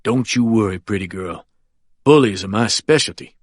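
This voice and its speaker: A man voicing a suave, confident older male lawyer who talks in an unhurried, smooth, teasing way. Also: on the low side, no echo, clean studio sound, natural voice. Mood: reassuring.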